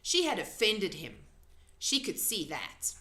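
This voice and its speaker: A woman reads a children's story aloud in an expressive amateur narration. Her delivery is very slow and varies widely in pitch. The recording has a clear room echo.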